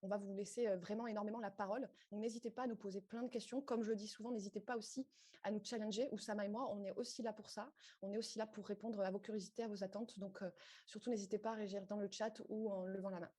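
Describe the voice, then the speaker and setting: Woman, moderator on a video call, warm mellow tone, informal, encouraging, hesitant. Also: medium pitch, steady tone, quick pace. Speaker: candidate and moderator. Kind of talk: introducing a speaker on a video call.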